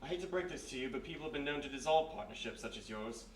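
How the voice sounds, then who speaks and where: Man doing a gruff police major, talks fast and official, old radio drama, echoey and a bit far off. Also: medium pitch, lively intonation, lightly acted. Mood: measured. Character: gruff, authoritative police major, grudgingly helpful. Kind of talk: 1940s radio mystery acting, live on stage. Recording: echoey live room, distant mic, faint noise.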